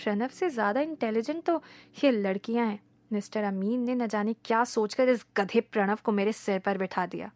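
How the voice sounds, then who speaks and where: A flustered male boss who barks orders and then yelps in panic, his voice jumping high and loud, as a woman narrator puts him on. His speech is mixed with English words. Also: moderate pace. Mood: muttering. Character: harried adult male office boss.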